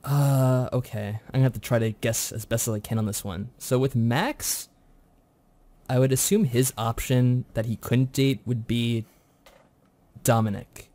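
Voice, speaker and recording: Male contestant, medium pitch, informal conversational delivery. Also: fairly even intonation, measured pace, dark tone. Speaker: quiz contestant. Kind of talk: casual chat.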